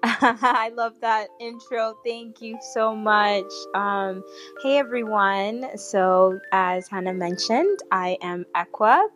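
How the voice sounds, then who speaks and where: A woman's voice: mid-range voice, slow pace, a bit bright. A woman podcast host talking casually as she introduces herself on a panel. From Kenya, Ghana and New York. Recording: live audio-app chat, faint music.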